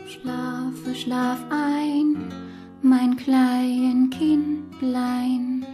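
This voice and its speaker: A woman's voice: high-pitched, flat delivery, very slow, over music, voice pushed up. A woman voicing a sweet little snow girl in a fairy tale, with a childlike, singsong delivery. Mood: soothing.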